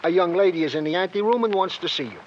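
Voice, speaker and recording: An actor playing a wordy police sergeant sidekick in an old radio crime drama, florid and mock-formal. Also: male voice, sits high, animated, rapid-fire. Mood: pompous, dutiful. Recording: muffled, noisy old radio recording.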